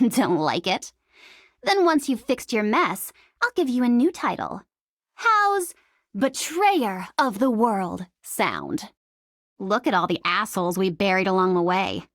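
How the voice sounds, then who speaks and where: A woman's voice: on the high side, fairly bright tone, no room sound, clean studio sound. A woman voicing a cocky, bloodthirsty female mercenary in a video game, with a sarcastic, teasing and playfully menacing delivery. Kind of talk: video game character voice lines.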